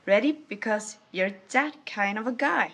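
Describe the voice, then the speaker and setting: A woman's voice: medium pitch, expressive, moderate pace, a bit bright. A woman teacher reading a children's rhyming book aloud.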